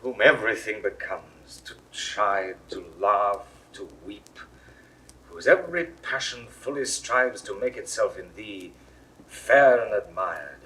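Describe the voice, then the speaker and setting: A lively, bright-voiced Roman general. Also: male voice, fairly low pitch, slow pace, heavily acted, poor audio. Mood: commanding. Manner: declamatory classical verse. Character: commanding male Roman general in love. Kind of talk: 1960s classical stage audio drama.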